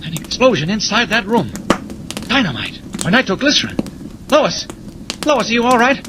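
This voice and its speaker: An American actor playing a superhero disguised as a newspaper reporter in 1940s radio serial style. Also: male voice, very animated, normal speed. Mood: urgent, alarmed. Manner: switches from flustered high reporter to firm low hero. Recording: noisy old 1940s radio transcription.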